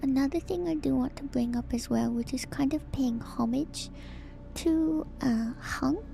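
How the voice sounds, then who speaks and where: A woman giving whispered ASMR commentary as a streamer, in a soft, breathy, hushed and musing way, close to the microphone. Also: high voice, unhurried, a little hiss or hum. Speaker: streamer, host of a lore breakdown.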